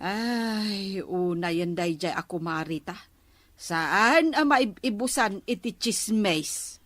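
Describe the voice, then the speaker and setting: A woman's voice: mid-range voice, lively intonation, slow pace, a bit bright, dry recording. A woman playing a gossipy village woman in a radio comedy drama. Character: gossipy village woman chatting with her female friends.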